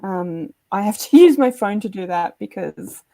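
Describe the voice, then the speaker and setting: A woman's voice: mid-range voice, lively intonation, moderate pace, creaky, warm tone. A woman hosting a live show, chatting informally in a casual conversation about books. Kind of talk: casual book chat.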